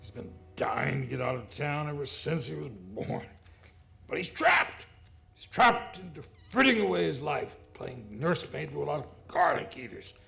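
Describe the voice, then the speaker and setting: A creaky, scheming old miser. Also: male voice, fairly high pitch, big pitch swings, moderate pace, pushed and bright, faint music behind, exaggerated voice. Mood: mocking, needling. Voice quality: gravelly, creaky. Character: old miserly banker, sly, scheming and condescending. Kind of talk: stage-style radio play acting.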